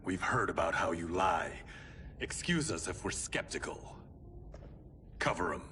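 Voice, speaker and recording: Earnest low-voiced warrior hero. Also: male voice, animated, measured pace, background noise. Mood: stern, accusing. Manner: earnest, commanding. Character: noble, earnest, resolute young male warrior hero. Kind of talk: video game cutscene acting. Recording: loud music behind the voice. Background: loud music.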